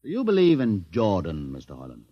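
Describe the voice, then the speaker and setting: An actor playing a knowing, commanding outcast leader aboard a starship, theatrical and sardonic, in an old-time radio science fiction drama. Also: male voice, lowish voice, huge pitch swings, muffled recording. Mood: sardonic, probing. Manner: theatrical, sardonic, deliberate, probing with questions. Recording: vintage 1950s radio drama recording.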